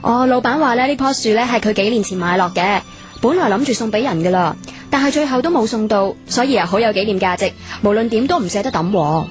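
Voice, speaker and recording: A courteous, helpful shop assistant played by a woman in a radio drama, with faint music underneath. She has a fairly high voice and explains patiently in Hong Kong Cantonese. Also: expressive, fast, close to an everyday voice. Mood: explaining. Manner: polite, explanatory. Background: background music.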